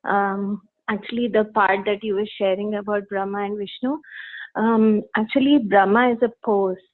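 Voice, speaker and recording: A woman taking part in an online class, speaking politely and slowly with frequent fillers. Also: mid-range voice, fairly even intonation. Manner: polite and measured, many fillers. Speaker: class participant. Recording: thin phone-line sound.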